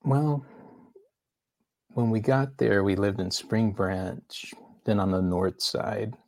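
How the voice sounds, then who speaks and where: A man who is a guest artist on a podcast, talking in a casual, halting way with frequent restarts. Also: fairly low pitch, no room sound. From United States. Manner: hesitant, halting, restarts often. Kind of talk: casual conversation.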